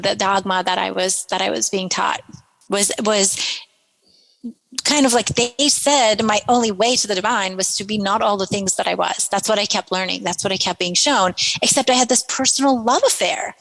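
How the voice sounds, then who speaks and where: A woman from Iran, a podcast guest telling her story in an animated way, often repeating words and gasping for emphasis. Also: medium pitch, moderate pace, gravelly, big-room sound, low-quality recording. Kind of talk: storytelling interview.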